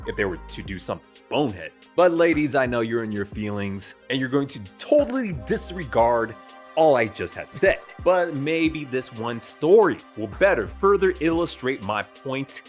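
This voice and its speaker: A podcast host whose first language is Vietnamese, delivering crude, joking commentary. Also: male voice, medium pitch, moderate pace, faint music behind. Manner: crude, animated ranting. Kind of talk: comedic commentary.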